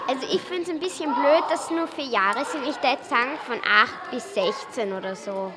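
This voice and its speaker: A woman's voice: high-pitched, expressive, moderate pace. A young girl, giving her opinion casually in an interview. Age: child, about 8 to 12.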